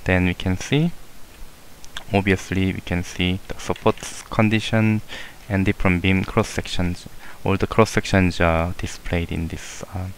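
A man with a very low voice talks slowly through a software tutorial, pausing often with fillers and correcting himself as he clicks along. A faint hiss sits under the recording. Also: level tone, low-quality recording. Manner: unhurried, hesitant, many fillers and self-corrections. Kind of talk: screen-recorded software walkthrough.

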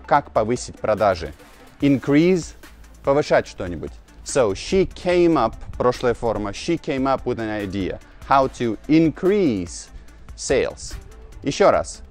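A man in his thirties, a native Russian speaker, giving an informal English lesson to camera outdoors and slowly repeating key phrases. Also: mid-range voice, sing-song, a little mellow, soft background music. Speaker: English teacher, video host. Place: outdoors on a narrow old-town street. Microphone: lapel mic.

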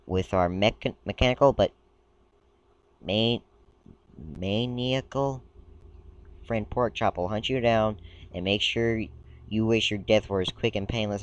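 A man talks through his screen recording in a rambling, halting way, tripping over words as he reads aloud. His voice sits on the low side and comes through clean and smooth even though he is a bit sick. The sound is dry and close. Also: no echo, lo-fi sound. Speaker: gaming video creator. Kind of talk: casual screen-recording commentary.

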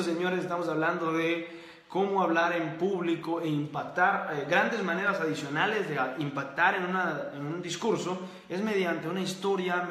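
A man's voice: a little high, a bit bright, reverberant. A man hosting a live motivational talk, emphatic and repetitive, ticking off long lists and addressing the audience directly. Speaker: host.

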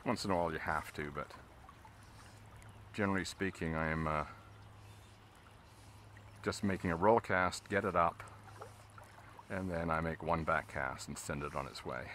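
Low, bright-toned male angler teaching as he fishes, action-cam sound with faint outdoor noise. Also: normal speed, long pauses. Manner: relaxed, explains steps as he casts. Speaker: fly fishing instructor. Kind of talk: casual instruction while fishing. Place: outdoors on a river, in a boat.

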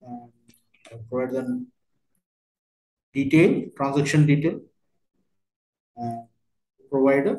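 Male software trainer, mid-pitched, halting step-by-step screen narration, roomy echo. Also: sing-song. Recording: roomy, echoey voice recording.

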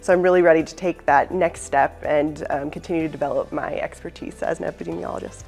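A woman's voice: low-pitched, fairly even intonation, quick pace, creaky, darkish tone, soft background music. A young woman from Alabama, a doctoral student, explaining her choice earnestly in an empty lecture hall. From USA, Alabama. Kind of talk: promotional interview. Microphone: lapel mic.

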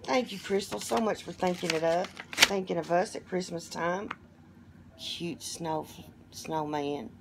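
A hoarse, middle-aged woman talks slowly and gently, her voice sitting a little low as she coos over each gift. She records from a phone on a table in her living room, with a faint hiss of the room behind her. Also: steady tone. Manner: gushing, softly delighted exclamations. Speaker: vlogger opening gifts. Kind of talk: casual unboxing chat.

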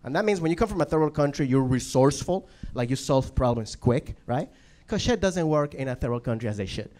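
A man in his thirties from Venezuela doing an animated stand-up set on a handheld mic. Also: medium pitch, fairly quick. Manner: animated, chatty, asks the crowd "right?". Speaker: stand-up comedian. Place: comedy club stage, indoors.

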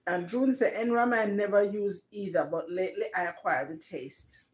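A woman's voice: medium pitch, normal speed. A middle-aged woman with a Jamaican accent casually hosting a live stream and reading out viewers' comments. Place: living room, indoors. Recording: roomy echo of a living room.

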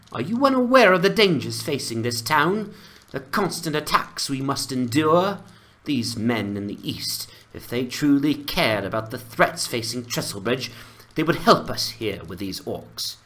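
A man's voice: fairly high pitch, very animated, a little slow, fairly bright tone, voice pushed up. A man voicing a stubborn, indignant young townswoman leader, scolding and defiant, in a fantasy game dramatization.

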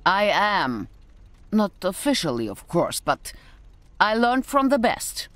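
Plucky young mechanic girl in a game, cheeky, lively up and down voice. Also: medium pitch, takes it slowly, dry recording. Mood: guarded, proud. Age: young adult. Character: plucky, cheeky young German female mech engineer. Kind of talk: video game cutscene acting.

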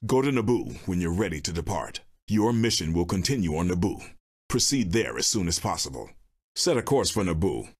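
An actor playing a stern, solemn Jedi master in a video game, speaking in a measured, formal and commanding way with a husky voice. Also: male voice, low-pitched, heavily acted. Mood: grave, solemn. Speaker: video game mission giver. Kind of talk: scripted game character dialogue.

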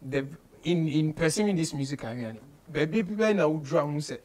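Male interviewer, Ghanaian accent, slightly high pitch, casual code-switching studio chat. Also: lively intonation, normal speed, darkish tone. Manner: mixes English with local slang and phrases. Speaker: radio show host, interviewer. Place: small radio studio office, indoors.

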